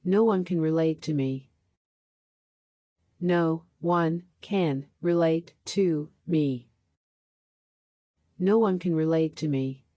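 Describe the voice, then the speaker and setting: A woman reading practice sentences aloud for a language lesson, saying each one three times with a slowed repeat. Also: low voice, warm tone, dry recording. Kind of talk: slow scripted sentence drills.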